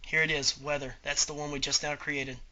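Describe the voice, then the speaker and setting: A man giving an informal step-by-step software tutorial as an instructor, talking through each click aloud. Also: fairly high pitch, level tone, fairly quick. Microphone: voice-over on a screen recording.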